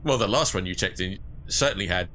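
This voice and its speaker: A game master guiding his players through a sci-fi adventure, speaking in a measured, explanatory way. His voice is fairly low but bright and forceful, and he lays out options with care. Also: lively intonation, slight background noise, rough recording. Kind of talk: running a sci-fi tabletop game.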